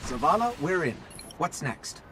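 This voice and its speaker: A lively, higher-voiced scout companion. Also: male voice, measured pace, noisy background. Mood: alert. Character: alert male scout companion reporting to his commander. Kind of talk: video game voice acting. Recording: loud music under the voice. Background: loud game soundtrack.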